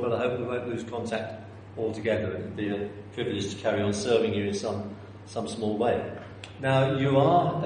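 Londoner preaching in an echoey church. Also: male voice, mid-range voice, darkish tone, a little hiss or hum. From North London, England. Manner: conversational and self-deprecating, with rhetorical questions. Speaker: visiting preacher. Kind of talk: sermon. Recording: echoey church hall with long reverb.

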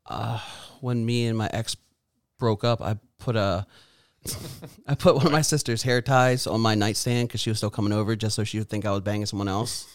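Crude deadpan man, dry close sound. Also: lowish voice, normal speed, no room sound. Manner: crude, self-mocking, deadpan teasing. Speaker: podcast co-host. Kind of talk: casual banter.

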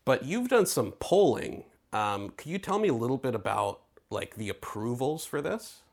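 A man's voice: mid-range voice, very animated, moderate pace, vocal fry. A man from Greece interviewing a guest, chatty and frank, thinking aloud before posing questions. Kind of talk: conversational interview.

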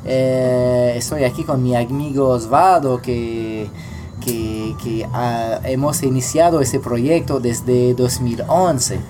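Halting man. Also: medium pitch, fairly even intonation. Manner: halting, repeats words. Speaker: guest, teacher. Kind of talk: casual chat in a learned language. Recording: music playing in the background.

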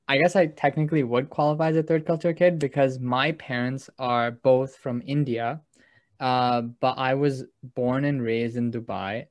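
A man's voice: medium pitch, no echo. A man co-hosting a casual podcast, rambling with constant uses of "like". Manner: rambling, heavy use of 'like'. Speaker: podcast co-host.

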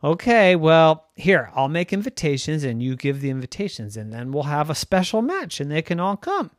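A storyteller voicing a cheerful girl who plays tennis with her puppy, speaking in a bright, upbeat, encouraging way. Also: medium pitch, big pitch swings, normal speed, no echo, clean studio sound, close to an everyday voice.

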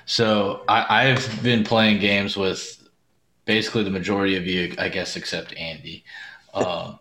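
A man with an American accent hosts in a low-pitched voice. His delivery is casual and informal, full of teasing and jokey asides. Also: level tone, unhurried, pushed and bright, a little hiss or hum. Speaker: podcast host. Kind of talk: casual chat.

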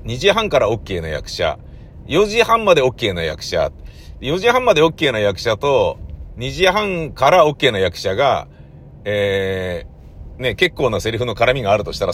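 A man with a lowish voice chats through a casual solo monologue. His intonation swings widely as he rambles and stresses his points in colloquial speech. A faint background noise runs under the recording. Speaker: playwright and troupe leader.

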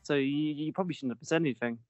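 A man's voice: a little high, very fast, dull audio, soft background music. Joking young male podcast host. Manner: jokey, self-mocking, stammering restarts. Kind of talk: casual chat.

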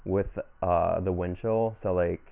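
A man's voice: low voice, fairly even intonation, measured pace, vocal fry. A man in his twenties from Ohio, a comedian guest chatting easily on a podcast, full of fillers and repeated yeahs. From Ohio, USA. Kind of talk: casual podcast chat. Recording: tinny phone-like audio.

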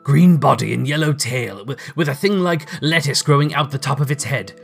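A man's voice: mid-range voice, animated, normal speed, creaky, breathy edge, soft background music. A man voicing an elderly miser in a storybook reading, exclaiming with childlike emotion, between laughing and crying. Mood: excited, gleeful. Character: elderly miser Scrooge, excited and tearful like a child.

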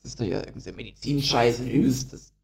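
A man's voice: medium pitch, quick pace, creaky. A young man co-hosting a casual podcast chat, swearing often and laughing a lot. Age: young adult. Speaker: podcast co-host.

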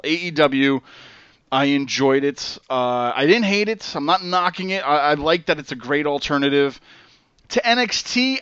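A man hosting a casual podcast, with a voice a little higher than usual. He rambles and hedges, full of fillers and restarts. The audio is dry and close, with a dull, lo-fi tone. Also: a bit bright, clean tone, no echo. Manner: rambling, hesitant, lots of fillers. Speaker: podcast host.

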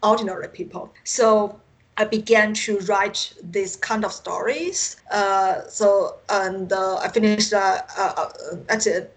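A woman from China with a Chinese accent, a native Mandarin speaker, answering interview questions haltingly over an internet call and often searching for words. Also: mid-range voice, steady tone, rough recording. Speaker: science fiction author, interview guest. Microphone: Skype call.

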